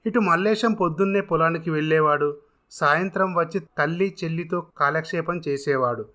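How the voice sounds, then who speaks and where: A man's voice: fairly high pitch, a little fast, bright, forceful tone. A man narrating a scripted dramatic story as a storyteller.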